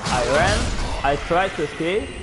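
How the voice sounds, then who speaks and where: A man's voice: high voice, very animated, a little slow, music in the background. A young man with an Italian accent, a non-native English speaker, chatting as he streams a game and reacting aloud to it. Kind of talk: gameplay commentary.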